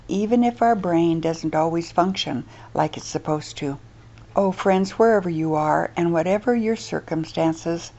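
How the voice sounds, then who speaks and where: Female radio ministry host: low-pitched, mellow, earnest and gentle, dull lo-fi sound. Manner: gentle, earnest, softly preaching. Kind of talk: scripted radio devotional.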